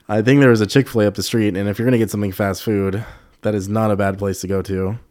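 A young man with a low voice and a slightly congested sound, talking through a relaxed solo podcast episode. His tone is mellow and dark, and the recording is dry and close, with no room around it. He rambles candidly and leans on lots of "you know"s and "um"s. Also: brisk, no room sound. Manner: rambling, loose and candid, many fillers and swearing. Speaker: podcast host. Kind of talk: casual solo podcast chat.

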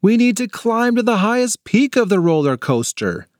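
A man's voice: high voice, sing-song, moderate pace, dry recording, clean studio sound, pitched above the performer's own voice. A man voicing a bossy, determined young girl in an audiobook, intense and fired up.

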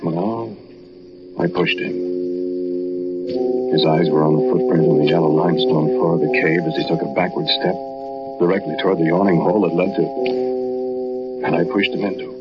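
Man acting a deep-voiced, brooding jealous murderer. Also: fairly even intonation, moderate pace, pauses often, close to an everyday voice. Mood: brooding confession. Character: brooding, jealous male murderer narrating his crime. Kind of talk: 1940s radio drama, confessional narration. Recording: muffled 1940s radio recording, loud music behind.

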